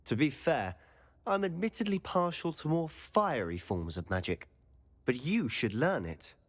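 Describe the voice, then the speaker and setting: An actor playing a confident, mischievous and secretive teenage male wizard student in a video game, speaking in a measured, confiding manner. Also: a little high, very animated. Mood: eager, conspiratorial. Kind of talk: video game dialogue acting.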